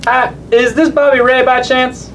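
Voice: high voice, flat delivery, a little slow, noisy background. A performer voicing a mild, good-natured dad puppet in a children's show, hesitant and folksy. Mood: polite, pleased.

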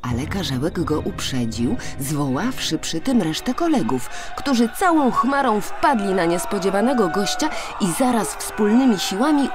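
A woman with a low voice tells a children's fairy tale. Her delivery is dramatic, with pitch that moves widely from phrase to phrase. Soft music plays behind her. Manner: animated, dramatic storytelling delivery. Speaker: storyteller. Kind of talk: expressive scripted children's fairy-tale narration. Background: background music.

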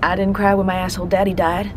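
A woman's voice: mid-range voice, level tone, quick pace, background noise, close to an everyday voice. An actress playing a brash, foul-mouthed young woman gunsmith in a video game cutscene, sassy and needling in a folksy way. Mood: teasing. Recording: music behind the voice.

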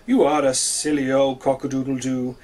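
A man voicing a jovial, teasing sun in a children's story, loud and amused. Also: mid-range voice, level tone, moderate pace, natural voice. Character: jovial male sun teasing a silly cockerel.

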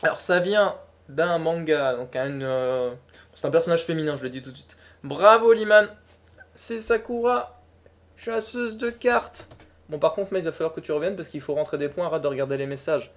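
A young woman co-hosting a gaming talk show live stream, teasing and animated as she runs a guessing game. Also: sits low. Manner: teasing, animated, quick laughs. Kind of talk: playful livestream quiz chat.

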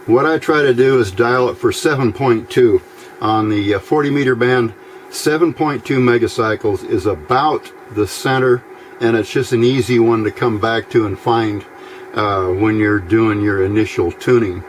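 A man giving an informal hands-on electronics tutorial in a plain-spoken, halting way, recorded at an indoor workbench. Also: medium pitch, slight background noise, poor audio. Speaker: electronics hobbyist demonstrating a build.

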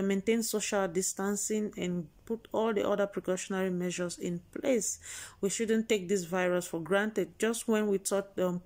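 A woman's voice: mid-range voice, darkish tone. A woman hosting a news vlog, chatty and exclaiming, repeating her points to the viewers. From Cameroon. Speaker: vlog host.

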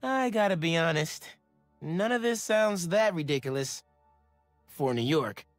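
An actor playing an upbeat, earnest teenage superhero from New York with an American accent, chatting casually in a video game. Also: male voice, on the high side, lively intonation, unhurried, no room sound, soft background music. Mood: candid, cheerful. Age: young adult. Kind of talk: game voice acting, casual reflection.

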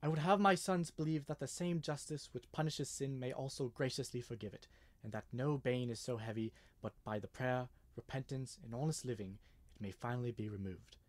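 A man playing an earnest, nervous gentleman with a formal manner in an old-style radio mystery. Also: medium pitch, a little fast, natural voice. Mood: solemn reading. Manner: hesitant, formal. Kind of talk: 1930s radio mystery acting.

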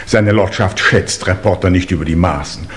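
Guy playing stuffy gossipy servant, low voice, very formal and obsequious, 50s radio play. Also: normal speed, lightly acted. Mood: pompous, confiding. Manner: formal, pompous, deferential. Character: dignified, gossipy, obsequious English manservant. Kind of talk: 1950s German radio play acting.

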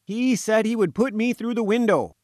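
A man's voice: high voice, normal speed, darkish tone, no echo, studio quality, pitched above the performer's own voice, close to an everyday voice. A man voicing an indignant fat little newspaper reporter in a storybook, bleating and sputtering in protest. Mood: rueful, protesting.

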